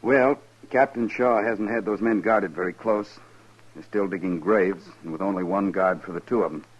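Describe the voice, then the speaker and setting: An actor playing a dutiful, courteous cavalry lieutenant, formal and military, in a 1950s radio western. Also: male voice, fairly low pitch, normal speed, close to an everyday voice. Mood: grave, businesslike. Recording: dull lo-fi old radio broadcast.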